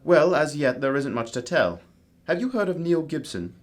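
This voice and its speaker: A man playing a composed, courteous, analytical gentleman detective with a formal, measured manner in an amateur radio drama. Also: a little high, sing-song. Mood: genial, composed.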